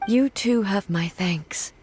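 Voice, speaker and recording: A woman's voice: medium pitch, takes it slowly, darkish tone, a little hiss or hum, lightly acted. A woman playing a solemn, dignified immortal ruler in a video game, speaking formally and earnestly. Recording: music behind.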